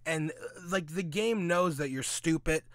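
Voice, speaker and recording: A man's voice: fairly high pitch, a little slow, no echo, clean studio sound. A man giving casual, opinionated commentary in a blunt, sweary, sarcastic way, often asking and answering his own questions. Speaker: host of a gaming commentary audio log.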